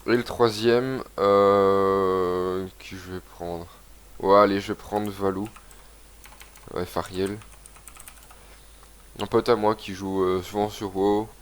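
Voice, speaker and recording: A young man with a head cold talks through a game session, his voice a bit low and stuffy. He rambles casually and reads the on-screen text aloud as he plays. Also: flat delivery, slow, pauses often, clean tone. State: has a cold, congested. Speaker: gaming video host. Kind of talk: casual let's-play commentary.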